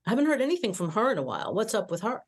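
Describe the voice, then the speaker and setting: Woman as a brash, lively starship officer. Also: mid-range voice, very fast. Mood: amused. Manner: blunt, teasing. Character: brash, teasing young female starship officer. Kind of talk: fan table read of an animated sci-fi comedy script.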